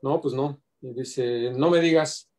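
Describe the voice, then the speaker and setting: A man's voice: mid-range voice, takes it slowly, a little mellow. A middle-aged man speaking earnestly on a video call, giving a reflective tribute to a friend and mixing in quoted asides. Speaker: community organizer paying tribute.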